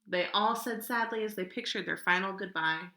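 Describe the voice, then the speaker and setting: A woman reading a children's picture book aloud in an expressive, dramatic way, drawing out key words. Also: medium pitch, moderate pace, echoey room. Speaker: storybook reader.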